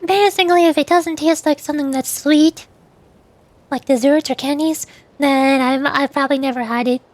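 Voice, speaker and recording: High-pitched woman chatting on a stream. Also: fairly even intonation, no room sound. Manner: hesitant, halting, repeats words. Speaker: VTuber streamer. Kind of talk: casual gaming stream chat.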